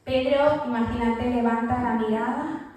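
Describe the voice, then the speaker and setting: Woman, preacher, high voice, sermon, storytelling, echoey church, distant mic. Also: fairly even intonation, unhurried, darkish tone. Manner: storytelling, acting out quoted lines. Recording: echoey church hall, voice from far off.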